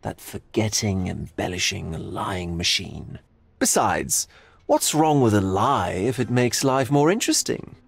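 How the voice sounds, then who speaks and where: A man playing a sly, hedonistic, eccentric art gallery owner in a video game, with drawn-out theatrical philosophizing. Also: on the low side, animated, vocal fry, husky, no room sound, full character voice. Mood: teasing, rapturous. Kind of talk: video game cutscene acting.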